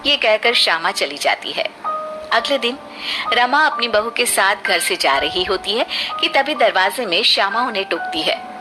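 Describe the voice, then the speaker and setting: A woman's voice: mid-range voice, lively intonation, moderate pace, music in the background, low-quality recording. A woman telling a scripted cartoon story as its narrator.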